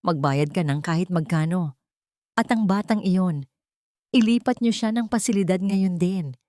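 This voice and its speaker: A woman narrator puts on a scheming older Filipino matriarch from a drama story. She gives ruthless, imperious orders in a low voice, recorded dry. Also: moderate pace, a little mellow, no room sound, lightly acted. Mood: alarmed, commanding. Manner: commanding.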